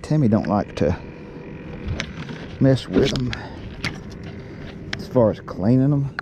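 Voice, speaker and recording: A man's voice: mid-range voice, takes it slowly. A middle-aged man chatting casually and folksily as he fishes from a small boat, talking into an action camera. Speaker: fishing vlogger. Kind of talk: casual chat while fishing. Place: outdoors on a small boat on calm water. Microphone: body-worn action camera. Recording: steady noise from outdoor action camera.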